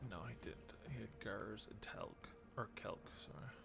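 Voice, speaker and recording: A man's voice: lowish voice, normal speed, gravelly. A man doing casual live gameplay commentary as a streamer, muttering and thinking aloud. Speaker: game streamer.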